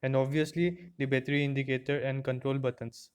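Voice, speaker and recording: A man presents a scripted product review through an earphone mic. His voice is smooth and clear, with an average pitch that stays quite level as he explains things plainly, step by step. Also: flat delivery, brisk. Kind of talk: scripted unboxing and review narration. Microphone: earphone microphone.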